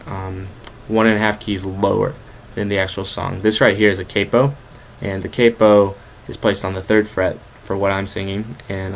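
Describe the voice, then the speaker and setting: A man with a bass voice teaching a guitar lesson, explaining chords in a quick, relaxed way with fillers. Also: gravelly, slight background noise. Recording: guitar playing behind. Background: acoustic guitar.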